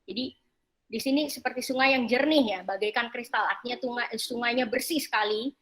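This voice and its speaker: A woman pastor preaching a sermon, haltingly repeating and rephrasing her phrases as she explains scripture. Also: a little high, a bit bright, rough recording. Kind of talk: sermon with slides and scripture reading.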